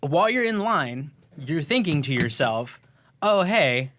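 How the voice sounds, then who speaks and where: Podcast guy just chatting, bright kinda high voice, dry close sound. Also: big pitch swings, measured pace, dry recording. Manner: chatty, acts out his own thoughts in quotes. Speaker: podcast co-host. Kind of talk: casual chat.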